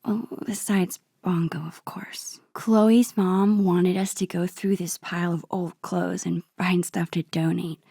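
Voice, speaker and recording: A woman voicing a shy, thoughtful young American teenage girl in a video game, in a soft, breathy, musing inner-monologue voice. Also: lowish voice, level tone, a little slow, hardly any pauses, no room sound, studio quality, exaggerated voice. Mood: musing, wistful. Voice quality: breathy, hushed.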